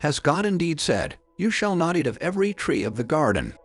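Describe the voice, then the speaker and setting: A man's voice: fairly high pitch, big pitch swings, a little fast. A man playing a cunning, persuasive serpent in a dramatized Bible audio production. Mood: sly questioning. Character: cunning serpent tempting a woman, smooth deceiver. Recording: background music under the voice.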